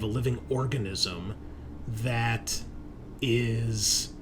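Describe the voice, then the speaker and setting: A man running a tabletop horror game as game master, narrating in a halting way as he thinks aloud and checks his notes. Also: medium pitch, slight background noise.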